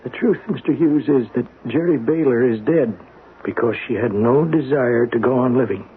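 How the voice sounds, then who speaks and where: A man's voice: mid-range voice, moderate pace, lightly acted. An actor playing a grave, matter-of-fact male doctor, explaining emphatically in a 1970s radio drama. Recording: muffled, noisy old radio broadcast.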